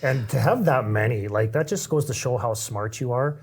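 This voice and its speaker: A man with a husky, mid-pitched voice and a Canadian accent. He speaks as a podcast co-host, chatting casually and offering encouragement to the guest. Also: normal speed. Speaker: podcast co-host, police constable. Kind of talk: casual conversation.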